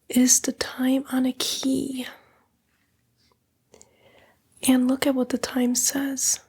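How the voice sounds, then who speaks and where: Woman whispering as a breathy, seductive demon temptress. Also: sits high, a little mellow, exaggerated voice. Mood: sly, tempting. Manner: slow, coaxing, taunting, drawn-out whisper. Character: seductive, smug female demon, fallen angel tempting the listener. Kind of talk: whispered ASMR roleplay.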